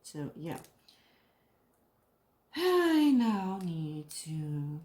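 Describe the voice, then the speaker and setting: A young Dutch woman talks unhurriedly in a somewhat low voice, rambling through a casual chat. She often stops to hunt for the right English word. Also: big pitch swings, extremely slow, a little mellow. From Netherlands. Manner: rambling, searches for English words, laughs often. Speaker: craft vlogger. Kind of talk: casual chat while crafting.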